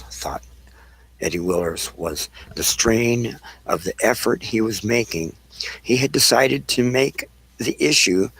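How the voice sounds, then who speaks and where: A man reading scripted narration for an amateur audio drama, halting and repeating phrases as retakes. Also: mid-range voice, breathy edge, no echo, rough recording.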